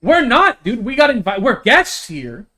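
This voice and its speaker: A man's voice: extremely high pitch, very animated, unhurried, sharp tone. A man streaming a game live, chatting casually with blunt, slangy, argumentative banter and reading dialogue aloud.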